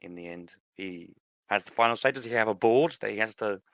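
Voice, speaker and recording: A man's voice: lowish voice, fairly quick, fairly bright tone, no room sound. A man co-hosting a podcast, chatting casually about films and TV. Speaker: podcast co-host.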